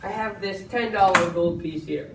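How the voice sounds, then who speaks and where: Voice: medium pitch, moderate pace, vocal fry, natural voice. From far off in a noisy, echoey hall comes a scheming melodrama villain acted on a school stage. The swindler talks with false geniality and savors words theatrically. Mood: smugly threatening. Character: smooth-talking scheming villain swindler, fake government man in top hat. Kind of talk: amateur stage melodrama acting.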